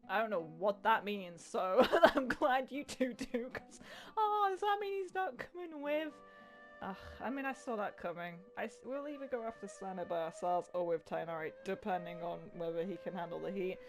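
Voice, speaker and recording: A woman's voice: medium pitch, moderate pace, faint music behind. A woman doing casual gameplay commentary, chatty, sarcastic and prone to swearing. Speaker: gamer, let's player.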